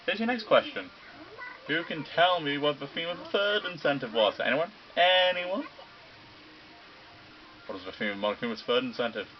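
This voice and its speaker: A man voicing a gleeful, sinister male robot bear headmaster who lectures in a mocking, theatrical, drawn-out way. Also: fairly high pitch, expressive, muffled recording, a little hiss or hum, voice pushed up, natural voice. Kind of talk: video game dub reading.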